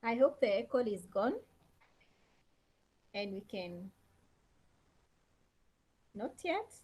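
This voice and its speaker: Slow, lively woman lecturer. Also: mid-range voice, slightly rough. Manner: slow, repeats key phrases and questions to students. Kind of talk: online class lecture. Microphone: laptop on a video call.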